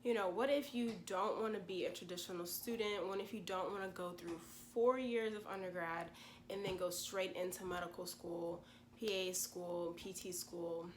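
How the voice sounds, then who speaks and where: Casting note: twenties woman, stuffy from a cold, lowish voice, casual vlog chatter, faint hiss behind. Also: fairly even intonation, moderate pace. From Louisiana, USA. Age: 20s. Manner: chatty, frequent "you know" asides. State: congested from a cold. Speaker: vlogger and pre-med gap-year student. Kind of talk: casual explanatory vlog talk.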